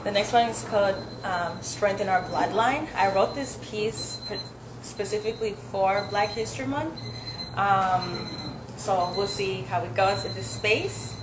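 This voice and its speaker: A woman's voice: medium pitch, steady tone, a little slow. A young woman from Zambia with an African accent, a native Swahili speaker, performing spoken word poetry live. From Zambia, later the United States. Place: indoor dinner event with audience. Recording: echoey room with loud background noise.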